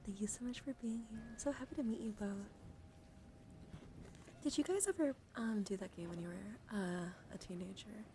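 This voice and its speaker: A woman hosting an ASMR livestream, chatting casually and gently to viewers in a soft, breathy voice that often drops to a whisper, affectionate and attentive. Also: mid-range voice, normal speed, a little hiss or hum. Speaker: livestream host.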